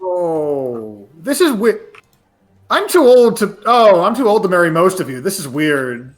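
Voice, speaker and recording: A man's voice: high-pitched, big pitch swings, unhurried. A man playing a tabletop game, bantering casually with the other players. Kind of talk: casual banter in character talk.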